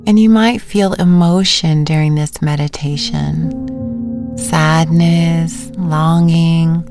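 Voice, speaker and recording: Female meditation guide, low register, slow and gentle delivery with pauses, faint music bed. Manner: unhurried, gentle instruction with pauses. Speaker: meditation teacher.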